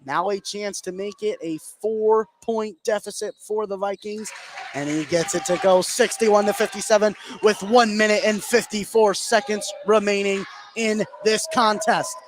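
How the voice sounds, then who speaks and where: Male radio play-by-play caller, high-pitched and excitable, live basketball with gym noise in the background. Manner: excitable, voice rises to shouts on big plays. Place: courtside in a school gym. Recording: clear gym noise behind the voice. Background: gymnasium ambience.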